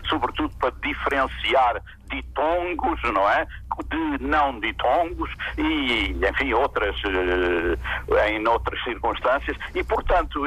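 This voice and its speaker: An older man calling into a live radio debate, halting and full of fillers and restarts. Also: mid-range voice, sharp tone, husky, dry recording, poor audio.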